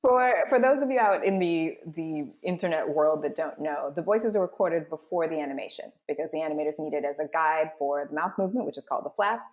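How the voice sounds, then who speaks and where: A woman's voice: low-pitched, quick pace, hardly any pauses, darkish tone. A woman hosting as a voice director, explaining scenes and reading out stage directions. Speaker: host and voice director.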